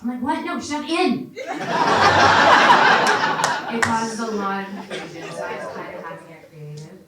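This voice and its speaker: A woman's voice: mid-range voice, normal speed, a loud crowd. Brash woman doing crude stand-up. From China. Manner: brash, crude, deadpan jokes. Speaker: stand-up comedian. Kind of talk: stand-up set. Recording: echoey club from far off, loud noise.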